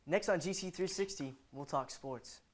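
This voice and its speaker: A man's voice: a little high, moderate pace. A man anchoring a student news show, reading scripted lines and asking loose interview questions padded with "you know".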